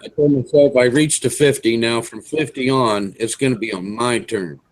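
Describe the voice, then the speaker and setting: A man in his fifties hosting a casual online video hangout, chatting in an easygoing way with drawn-out fillers over a computer mic. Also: mid-range voice, low-quality recording. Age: 50s. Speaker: host.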